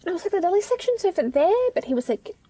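High-voiced, nervous young-sounding shop worker. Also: female voice, very animated, fast, mellow, no echo. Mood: uneasy, rambling. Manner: hesitant, rambling, apologetic. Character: nervous female supermarket night-shift worker, politely rambling. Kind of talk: naturalistic audio drama monologue.

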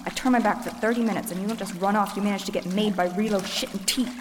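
A woman acts as a rough-tongued female rogue in an audio drama. She is blunt and pressing, with clear noise and a long reverb around her voice. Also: medium pitch, fairly even intonation, quick pace, lightly acted. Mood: urgent, angry. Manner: blunt, urgent, swearing. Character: brusque, foul-mouthed female rogue thief.

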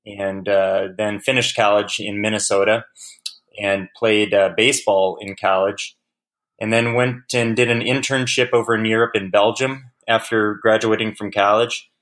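A man's voice: lowish voice, fairly even intonation, moderate pace, a bit bright. A man being interviewed as a guest on a podcast, answering in a friendly, easygoing way with frequent restarts and fillers. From United States.

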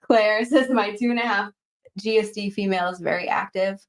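A woman's voice: medium pitch, fairly quick. A tired woman with a faint Boston accent, co-hosting a casual live Q&A and reading viewer questions aloud with frequent laughs. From Boston area. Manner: chatty, giggly, reads comments aloud.

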